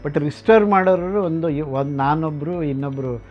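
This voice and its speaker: A man giving an informal guided explanation as a collector and restorer, haltingly, with pauses and English words mixed in. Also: fairly high pitch. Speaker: vintage radio collector and restorer. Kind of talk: informal explanatory museum tour to camera. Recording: dull, lo-fi sound with music behind. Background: background music.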